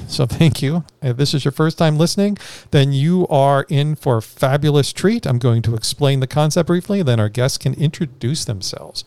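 A man's voice: on the high side, creaky, darkish tone, clear, resonant tone, dry recording. A man hosting a casual podcast panel, joking and self-deprecating as he introduces his guests. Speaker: podcast host.

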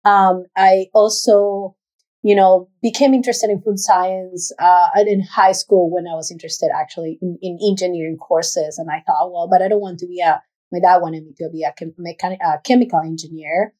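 A woman's voice: fairly low pitch, steady tone, normal speed, warm tone. A woman from Ecuador with an Ecuadorian Spanish accent, answering conversationally as an interview guest. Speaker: interview guest, executive director.

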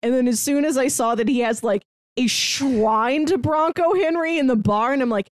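A woman co-hosting a review podcast, chatting casually and in an animated way about a film. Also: sits high, normal speed, no room sound.

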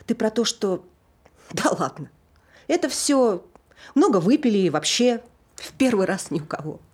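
An actress playing a tipsy, nervous married woman on stage, talking in an awkward, self-justifying way with a husky voice. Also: medium pitch, very animated, normal speed, a little mellow. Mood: uneasy disbelief. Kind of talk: naturalistic stage acting, monologue.

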